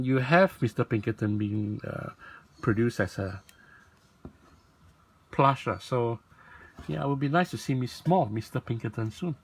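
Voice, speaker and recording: Man, raspy voice, rambling toy vlog host, fillers and restarts. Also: medium pitch, poor audio. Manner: rambling, self-correcting, lots of fillers. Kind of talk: casual rambling toy showcase.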